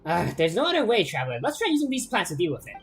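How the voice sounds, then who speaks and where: Man doing tiny female game sidekick, squeaky high, chirpy, urgent. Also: wildly swooping pitch, rapid-fire, a bit bright, a little hiss or hum, exaggerated voice.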